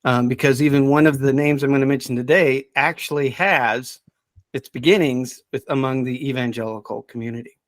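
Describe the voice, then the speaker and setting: A middle-aged man chatting casually as a podcast's subject expert, thinking aloud in a halting way with repeated words. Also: medium pitch, dry recording. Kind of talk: casual chat.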